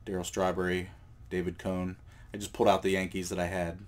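A man with a cold hosting a card collecting channel, talking in a relaxed, rambling way with fillers and self-corrections while he shows cards at a table indoors. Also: sits low, a little fast. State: has a cold. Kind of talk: casual show-and-tell vlog.

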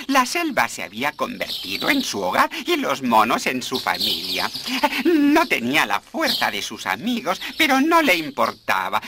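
A man's voice: on the high side, background noise. A man narrating a children's cartoon as a scripted story.

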